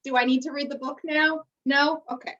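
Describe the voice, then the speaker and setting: A woman's voice: high-pitched, fairly even intonation, fairly quick, fairly bright tone, muffled recording. An American woman co-hosting a podcast in casual chat, with a dry, teasing, sarcastic way of talking. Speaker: podcast co-host. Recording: slightly distant microphone sound.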